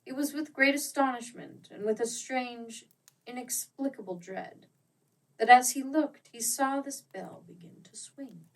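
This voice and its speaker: A woman narrating a classic ghost story as an audiobook, with theatrical, expressive and deliberate phrasing. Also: on the high side, far from the mic, poor audio. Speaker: audiobook reader.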